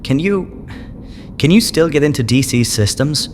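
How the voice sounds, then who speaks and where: A male space adventurer played by an actor in a sci-fi audio drama. He sounds pragmatic yet uneasy, talking conversationally with varied, animated pitch. Clear effects noise runs behind him. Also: moderate pace, lightly acted. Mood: uneasy, urgent.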